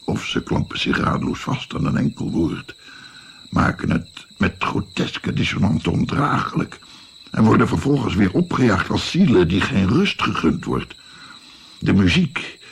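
A man's voice: on the low side, sing-song, unhurried, creaky, slight background noise, full character voice. An actor playing an earnest, brooding man who recounts his tale in literary language in a Dutch radio drama. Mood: intense, tormented.

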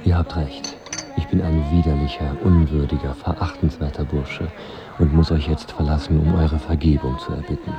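An actor playing a gloomy, skeptical knight with a dry, formal delivery in a literary radio drama. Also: male voice, rumbling low, fairly even intonation, normal speed, dull audio, over music. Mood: solemn, self-abasing.

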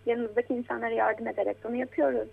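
An adult woman talking conversationally as a programme guest, encouraging and gently explaining things as if to a child. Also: on the high side, fairly even intonation, racing, vocal fry, breathy edge. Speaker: guest commentator on children's media. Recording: tinny, phone-like narrow band.

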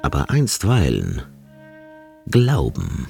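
An actor playing a patronizing older mathematics professor in a radio play, with a husky, gravelly voice and a pompous, hesitant, lecturing way of talking. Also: male voice, rumbling low, huge pitch swings, takes it slowly, darkish tone, faint music behind, full character voice. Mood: insistent, patronizing. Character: patronizing, evasive older male mathematics professor around 1900.

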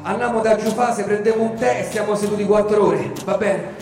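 Pushy, whining Roman buddy, male, broke and excitable, high voice, radio theatre played by a male monologuist, long reverb. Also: brisk. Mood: wheedling. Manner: wheedling, colloquial, insistent. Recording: echoey reverberant space, music behind.

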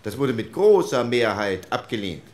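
An actor playing a sober post-war German parliamentarian who reports methodically and formally in a dramatized reading of minutes. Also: male voice, mid-range voice, big pitch swings, moderate pace, darkish tone, lightly acted. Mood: methodical, matter-of-fact.

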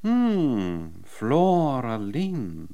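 Courtly gentleman with swooping intonation. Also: medium pitch, wildly swooping pitch, extremely slow, exaggerated voice. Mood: courtly, flirtatious. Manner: formal, old-fashioned gallantry. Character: courtly, curious male law-office secretary, formally flirtatious. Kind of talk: radio drama acting.

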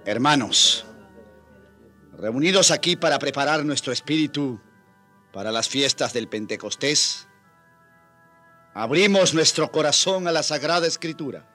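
An actor playing a troubled, irritable Spanish colonial priest in a dramatic radio play, prone to sighs and exclamations. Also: male voice, on the high side, lively intonation, measured pace, long pauses, sharp tone, soft background music. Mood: solemn preaching.

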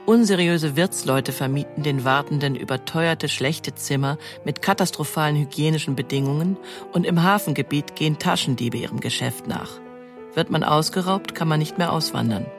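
A woman reading scripted documentary narration in a factual, measured manner. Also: low voice, over music.

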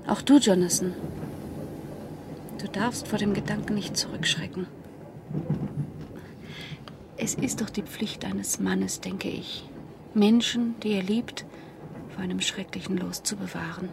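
A woman playing a doomed young wife in a German radio horror drama, solemn and pleading, with dramatic hushes. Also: medium pitch, creaky, background noise.

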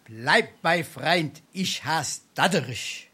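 Brazen sponger and tippler for dialect comedy; Darmstadt accent, florid, theatrical, silver-tongued. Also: male voice, fairly high pitch, expressive, slow pace, noisy background. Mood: chummy, tipsy. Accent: South Hessian, Darmstadt dialect. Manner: florid mock-pompous speech, theatrical sighs. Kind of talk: dialect stage comedy acting.

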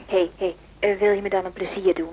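An actress playing a brisk, scheming younger woman activist in a Dutch radio drama, chatty and insistent. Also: medium pitch, level tone, husky, muffled recording, close to an everyday voice. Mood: pointed, insistent. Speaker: actor in radio play.

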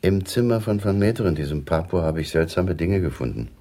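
An actor playing a conscientious police officer reporting in a matter-of-fact way in a radio drama. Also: male voice, sits low, expressive, brisk, dark tone. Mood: earnest, deferential.

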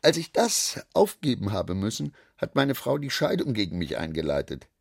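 A man's voice: lowish voice, huge pitch swings, normal speed, creaky, slightly rough, dry recording, clean studio sound, full character voice. An actor playing a garrulous, self-satisfied middle-aged businessman in a radio drama, chatty and given to philosophizing. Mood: agitated, confiding.

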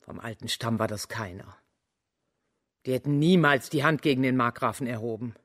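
An actor playing a spiteful, blindly loyal servant with a blunt, sharp tongue in a German radio crime drama. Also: male voice, medium pitch, normal speed, close to an everyday voice. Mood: bitter, gossipy.

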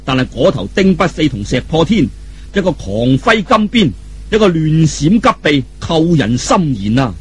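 Male Cantonese audiobook storyteller: rough husky voice, wide swooping intonation, dramatic colloquial delivery. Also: a little high, big pitch swings, normal speed. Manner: theatrical, colloquial, with vivid sound effects and exclamations.

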